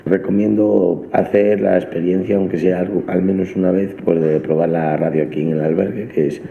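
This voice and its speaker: A man's voice: low-pitched, level tone, normal speed, gravelly. A man giving a personal testimonial and recommendation. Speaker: community radio participant. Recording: tinny playback in echoey hall.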